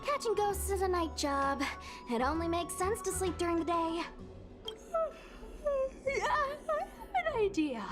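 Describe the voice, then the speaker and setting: A young female ghost hunter in a video game, with a very high voice and clear music underneath. She sounds drowsy and easygoing, grumbling casually as if she badly wants her bed. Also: big pitch swings, unhurried, pauses often, heavily acted. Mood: sleepy, pleading.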